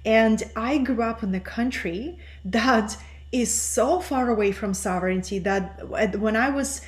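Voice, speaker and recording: A middle-aged woman from Russia, whose first language is Russian, speaking as an interview guest in an earnest, measured, teacherly way. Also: fairly high pitch. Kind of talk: reflective interview talk.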